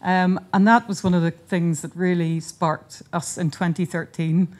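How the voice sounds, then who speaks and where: A woman giving an earnest, informal conference talk in a hotel conference room, often pausing and restarting her sentences. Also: on the low side, steady tone, a little mellow. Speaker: conference speaker and seed charity founder. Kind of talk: conference presentation.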